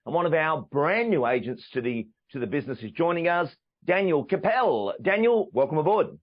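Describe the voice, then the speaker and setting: A man's voice: fairly high pitch, animated, fairly quick. A man hosting a sales podcast and interviewing a guest, with an enthusiastic, polished presenter delivery. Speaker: podcast host and sales trainer. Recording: dull lo-fi audio with faint music.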